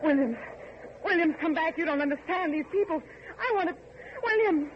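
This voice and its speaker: A woman's voice: very high voice, animated, normal speed. An anguished, earnest heiress speaks through a narrow, tinny vintage radio recording. Her delivery is theatrical and full of feeling, with faint noise underneath. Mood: desperate pleading. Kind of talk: old-time radio drama acting.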